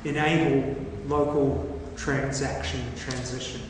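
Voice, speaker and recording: Slow, halting New man in an echoey room. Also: medium pitch, little pitch movement. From New Zealand. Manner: slow, halting, thinking aloud with many ums. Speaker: discussion participant. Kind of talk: casual brainstorming chat. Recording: echoey room with long reverb, from a distance, faint noise.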